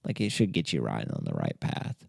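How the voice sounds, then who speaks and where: A man's voice: rumbling low, wildly swooping pitch, fairly quick, gravelly, darkish tone, slightly rough, dry recording. A man hosting a podcast, bantering casually in an informal, teasing, sweary way. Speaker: podcast host quizzing a co-host.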